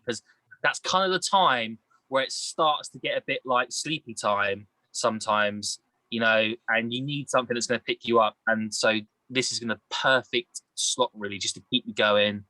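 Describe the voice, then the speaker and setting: A man with a bright, forward voice of medium pitch, recorded close and dry. He rambles through casual sports opinions in a matey, colloquial way. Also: dry recording, poor audio. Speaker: podcast panellist.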